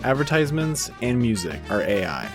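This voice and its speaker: A man's voice: medium pitch, very animated, brisk. A man reading a scripted show disclaimer as an announcer, with music behind him. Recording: voice over loud music.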